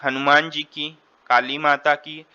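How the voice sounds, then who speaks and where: Bright-voiced Indian man tutoring, slightly dull recording. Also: on the high side, level tone, slow, clean tone. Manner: repeats and rephrases, switches between Hindi and English. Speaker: exam tutor. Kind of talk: quiz lesson, reading questions and explaining answers.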